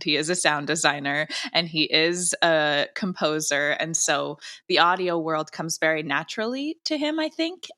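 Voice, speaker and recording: A woman with a fairly low voice and a bright, forward tone, speaking as a creator being interviewed. She talks conversationally, with emphatic, gushing enthusiasm about her work. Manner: gushing, emphatic, animated wording. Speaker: audio drama creator and director, interview guest.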